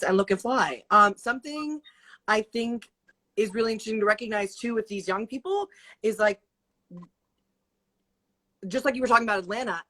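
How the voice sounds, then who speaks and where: Woman, late 20s, host, affirming, thoughtful, lots of ums, video call. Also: medium pitch. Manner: warm affirming interviewer, thoughtful with many fillers. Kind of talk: casual interview chat.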